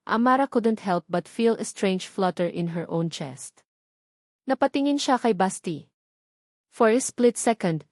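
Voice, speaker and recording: A woman's voice: medium pitch, a little mellow, dry recording, studio quality. A woman reading scripted, dramatic narration as the storyteller of a romance audio story.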